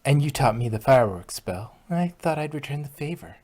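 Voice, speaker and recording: A woman voicing a young pony with a husky voice in an amateur cartoon fan fiction reading. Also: rumbling low, animated, fairly quick, a little mellow, heavily acted. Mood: patient, matter-of-fact. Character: young cartoon ponies, grumbling or patiently instructive.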